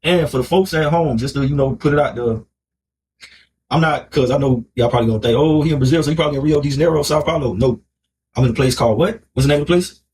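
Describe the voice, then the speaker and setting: A man's voice: mid-range voice, fast talker, a little mellow, a little hiss or hum, rough recording. A man in his thirties from Miami with an American accent, chatting casually to camera in a rambling, colloquial way peppered with filler phrases. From Miami, United States. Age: 30s. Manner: rambling, colloquial, frequent "you know" and "like". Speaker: vlogger telling relationship story.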